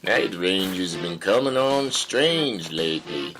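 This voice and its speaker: Stern, weary Southern survivalist dad male actor, low, slow and crackly, audio drama. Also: expressive, over music. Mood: grave. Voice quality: low, gravelly. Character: grim, sparing post-apocalyptic backwoods father called Pa.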